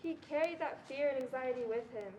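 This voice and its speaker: A woman's voice: sits high, fairly quick, dark tone, slight background noise, lightly acted, low-quality recording. A young woman playing a mother who fondly recounts her son's childhood in a staged reading. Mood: fond, reassuring. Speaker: student performer. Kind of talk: reader's theatre narration.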